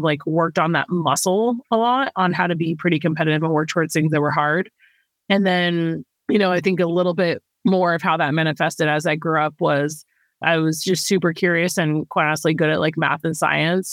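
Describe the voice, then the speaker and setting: A woman founder being interviewed, talking in a relaxed, rambling way with lots of "you know" and "like". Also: lowish voice, no room sound. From grew up in Thailand, then the US. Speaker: company founder, guest. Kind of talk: casual interview chat.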